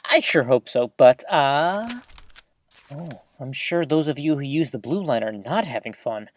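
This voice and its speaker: Chatty, animated small-town radio host , acted. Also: mid-range voice, a little slow, dry recording. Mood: upbeat, then concerned. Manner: folksy, chatty, expressive, addresses listeners directly. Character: chatty, earnest novice small-town community radio host, eager and flustered. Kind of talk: scripted audio drama as local radio broadcast.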